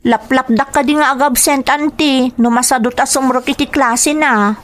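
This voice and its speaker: A woman's voice: high voice, fairly even intonation, normal speed, natural voice. A woman playing a cheerful, sheltered young student in a radio drama, eager and girlish, with an Ilocano accent. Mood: teasing. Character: cheerful young female student, sheltered rich best friend.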